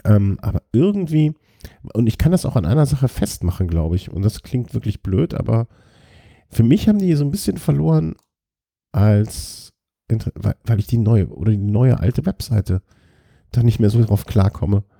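A man's voice: lowish voice, lively intonation, normal speed, vocal fry, mellow, dry recording, studio quality. A man co-hosting a casual cycling podcast, joking and rambling with frequent restarts. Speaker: podcast co-host. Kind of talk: casual chat.

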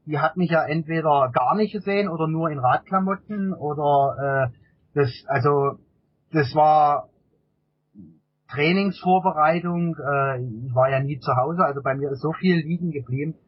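A middle-aged man with a husky voice answers casually as an interview guest, often repeating words while he searches for phrasing. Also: a little high, normal speed. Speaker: interview guest, amateur endurance cyclist. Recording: dull, lo-fi interview audio.

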